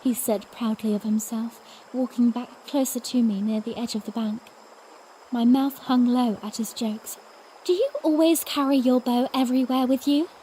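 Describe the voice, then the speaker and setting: Young princess , female actor, high and expressive, tender yet spirited, storybook audiodrama narration and dialogue. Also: normal speed, slight background noise, close to an everyday voice, rough recording. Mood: excited, indignant. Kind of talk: first-person audiodrama narration with acted dialogue.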